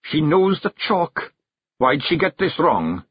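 A man voicing a small, fierce male pictsie clan leader with a gruff Scottish accent, blunt and commanding. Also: fairly high pitch, big pitch swings, slow, no room sound, highs cut off, voice pushed up, exaggerated voice. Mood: questioning.

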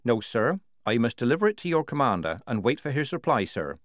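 A man's voice: mid-range voice, big pitch swings, brisk, dry recording, natural voice. A man voicing a young woman soldier in a storybook, plain-spoken and stubborn, with an understated, dry, firm manner.

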